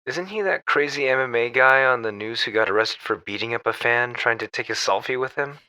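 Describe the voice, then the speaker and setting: A man's voice: medium pitch, fairly quick, bright, forceful tone, dry recording, poor audio. An actor playing a caring, inquisitive male friend, attentive and conversational, in an audio drama. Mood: curious concern.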